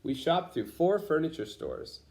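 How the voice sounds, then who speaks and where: A man's voice: a little high, very animated, unhurried, darkish tone. Man reading a children's picture book aloud. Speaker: storybook reader.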